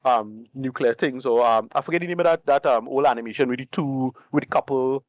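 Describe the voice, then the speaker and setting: A man's voice: medium pitch, fairly quick, no room sound, dull audio. A man giving casual podcast reviews, restarting phrases often and leaning on enthusiastic slang. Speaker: podcast co-host. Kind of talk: casual chat, reviewing favourite games.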